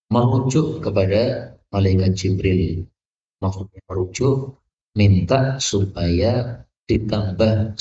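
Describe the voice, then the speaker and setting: A man's voice: lowish voice, dark tone. A male Islamic teacher giving a hadith lesson, reading the Arabic text phrase by phrase and explaining each part. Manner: reads an Arabic chain of narrators, then explains each phrase in Indonesian. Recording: echoey, lo-fi, from a distance.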